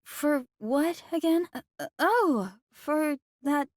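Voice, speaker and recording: A woman's voice: sits high, animated, slow pace, no room sound, clean studio sound. A woman playing a timid, awkward teenage schoolgirl in a video game, speaking politely with hesitant stammers. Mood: timid, uncertain. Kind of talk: video game character acting.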